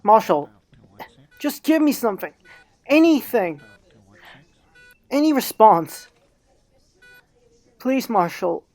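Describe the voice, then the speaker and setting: A woman's voice: a little high, very animated, darkish tone, full character voice. A woman voicing an earnest, hot-tempered male German shepherd pup in an emotional cartoon fan drama. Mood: desperate, pleading. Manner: intense, halting, emotional.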